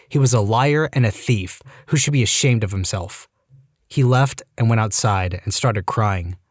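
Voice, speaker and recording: A male narrator reads posts aloud with a wry, animated delivery and a pitch that swings up and down. His voice is middle in pitch and recorded dry and close, with no room sound. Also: dry recording. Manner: lively, wry reading. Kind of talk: storytime narration.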